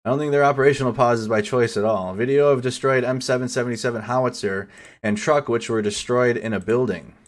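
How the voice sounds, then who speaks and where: A man's voice: mid-range voice, fairly even intonation, fast talker. A man giving casual live commentary over war footage as a news streamer, swearing and stumbling over foreign names.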